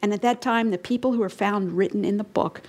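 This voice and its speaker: A woman preaching an expository sermon, emphatic and rising and falling in tone, sometimes dropping to a hush and asking her listeners questions. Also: medium pitch, brisk. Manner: emphatic, rising and falling, drops to hushed lines; explains and asks the listeners questions.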